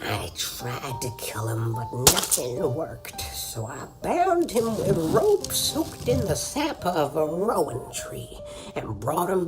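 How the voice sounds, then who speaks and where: A woman playing a determined old woman, telling things dramatically in a comedy audio drama. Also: medium pitch. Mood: grave, dramatic. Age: older. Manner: dramatic storytelling, deliberate. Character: theatrical, determined old woman who hunts a monster. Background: music.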